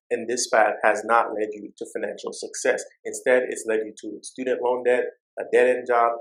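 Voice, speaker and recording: Jamaican-accented man, mid-pitched finance YouTuber, motivational and direct, leans on repeated phrases. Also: low-quality recording. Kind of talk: motivational talk to camera.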